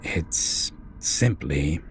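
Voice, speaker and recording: A man playing a bitter, sardonic fallen angel turned demon in a dramatic audio roleplay, speaking deliberately with drawn-out pauses. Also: a bass voice, animated, slight background noise, heavily acted. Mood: resolute, disdainful.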